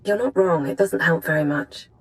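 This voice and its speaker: Female actor playing brilliant recovering captive woman, lowish pitch, prickly, wry, precise, clipped phrasing, video game dialogue. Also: normal speed, lightly acted. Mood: vulnerable, insistent.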